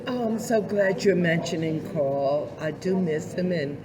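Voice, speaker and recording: An older woman with a hoarse voice, speaking earnestly and reflectively as a guest in a conversational interview. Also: on the low side, animated, measured pace, a crowd in the background, low-quality recording. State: hoarse voice after illness. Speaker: podcast guest, community leader.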